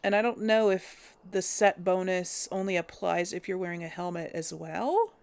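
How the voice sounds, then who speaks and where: A woman's voice: lowish voice, animated, normal speed, creaky, darkish tone, smooth. A woman hosting a let's play, giving casual gameplay commentary and thinking aloud with hesitant restarts.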